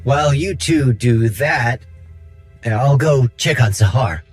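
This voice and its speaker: A man playing a kind, idealistic young rebel with an expressive, friendly, slightly hesitant manner in a video game cutscene. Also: mid-range voice, slow, slightly rough, no echo, soft background music. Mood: encouraging.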